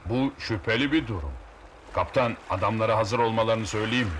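An actor playing a loyal, practical first mate in a dubbed cartoon, deliberate and respectful. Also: male voice, on the low side, fairly bright tone, lightly acted. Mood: concerned. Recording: very noisy, lo-fi cartoon soundtrack.

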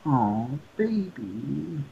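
A man's voice: medium pitch, sing-song, extremely slow, vocal fry, exaggerated voice. Muffled, noisy, cooing boyfriend played by a man. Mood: affectionate. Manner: murmured, cooing sympathy. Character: soothing, doting boyfriend comforting his partner. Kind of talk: amateur ASMR couple roleplay. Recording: muffled, noisy lo-fi playback, distant.

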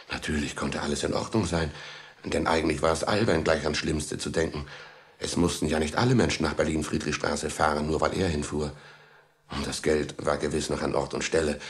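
A man narrating a children's radio play in an expressive, suspenseful storytelling style with a gravelly voice. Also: extremely low pitch. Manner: expressive, suspenseful, with whispered asides and dramatic pauses.

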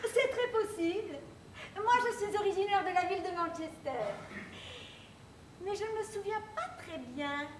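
An actress playing a vague, dreamy lady who marvels in drawn-out wonder at every coincidence on a stage. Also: very high-pitched, slight background noise. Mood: bemused. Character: dazed, vague lady meeting a stranger. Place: theatre stage. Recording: echoey stage from far off.

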